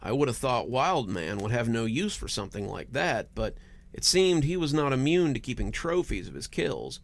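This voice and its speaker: A man reads scripted horror fiction as a storytelling host. His delivery is dramatic and very varied in pitch, with noticeable fry in the voice. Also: sing-song, normal speed. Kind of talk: scripted first-person horror narration.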